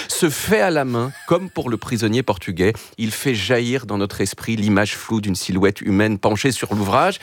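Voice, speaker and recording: A man's voice: medium pitch, dry recording, clean studio sound. A man telling a humorous first-person story as a radio columnist, with comic asides.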